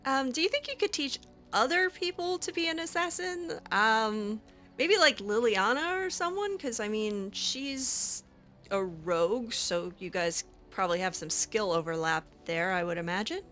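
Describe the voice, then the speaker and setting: A woman's voice: a little high, animated, a little slow, fairly bright tone, soft background music. A woman roleplaying over a video game, talking casually and hesitantly with a wry edge. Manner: hesitant, wry, lots of ums. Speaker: roleplay gamer. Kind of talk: casual in-character roleplay chat.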